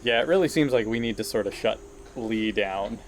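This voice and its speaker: A man's voice: on the low side, a little fast. A man playing a tabletop roleplaying game, chatting casually with the other players. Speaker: player in an actual-play show.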